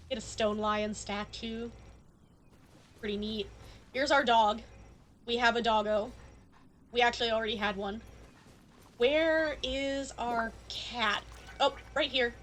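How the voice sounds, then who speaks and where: A woman's voice: a little high, takes it slowly, pauses often, fairly bright tone. A woman live-streaming a game, chatting casually and playfully and speaking straight to her viewers. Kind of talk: casual chat to stream viewers during gameplay. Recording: background music behind voice. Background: music.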